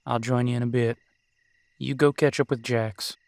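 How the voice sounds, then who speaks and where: A man's voice: medium pitch, steady tone, normal speed, vocal fry, a little mellow, dry recording, lightly acted. A man acting as a brotherly male werewolf in a roleplay audio drama. He is easygoing and protective, talking casually in a dry, close recording. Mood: easygoing, concerned. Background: crickets at night.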